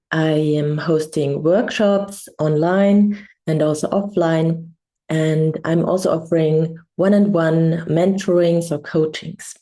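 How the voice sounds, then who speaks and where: German-accented woman coach, mellow lowish voice, slow and hesitant delivery. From Bavaria, Germany. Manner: reflective, hesitant, many ums. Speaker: embodiment coach. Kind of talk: casual introductory vlog.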